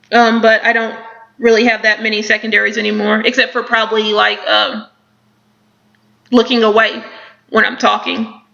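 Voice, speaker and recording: A woman's voice: on the high side, vocal fry, bright, forceful tone, highs cut off. A young woman who stutters, with repeated words, blocks and many fillers, reading out questions about her stuttering and answering them. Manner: stutters with repetitions and blocks, many ums, thoughtful.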